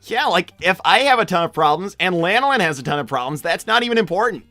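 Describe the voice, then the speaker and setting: Woman as casual young cartoon animal guy, lively swings, dry close sound, hesitant, slangy. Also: lowish voice, quick pace, dry recording, faint music behind. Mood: defensive. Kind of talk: improvised in-character livestream chat.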